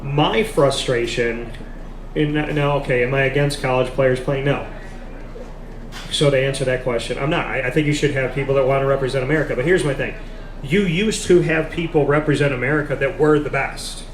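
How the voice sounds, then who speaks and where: A man's voice: medium pitch, fairly even intonation, a little fast. A man hosting a live sports talk, speaking emphatically and repeating his points for stress. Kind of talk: live casual sports discussion. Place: café. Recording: echoey café with background noise.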